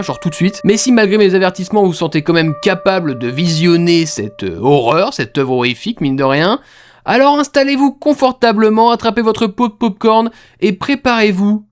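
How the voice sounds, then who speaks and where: Man, casual YouTube commentary over music. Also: on the high side. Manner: slangy, conversational. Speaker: YouTube host. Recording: music behind the voice.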